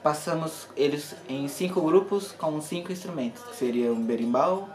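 A man's voice: a little high, fairly quick, darkish tone. A teenage Brazilian male exchange participant narrating a recap. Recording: echoey room with faint music behind.

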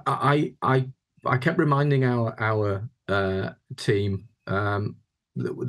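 A man, giving reflective interview answers on a video call and often repeating words as he goes. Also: lowish voice. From Yorkshire, England. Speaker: interview guest, housing chief executive.

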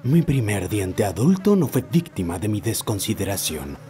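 A man voicing an obsessive old man who recounts his lifelong fixation in a solemn, deliberate horror narration. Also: lowish voice, big pitch swings, mellow. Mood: brooding, solemn. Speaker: amateur narrator of a horror short. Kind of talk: scripted first-person horror narration.

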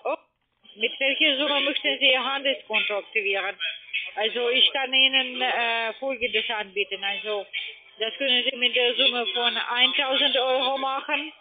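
A woman who is a non-native German speaker, giving a formal, polite telephone sales pitch. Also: moderate pace, faint music behind. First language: not German. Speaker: telephone investment sales agent. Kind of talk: scripted phone sales pitch. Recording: muffled narrow phone line.

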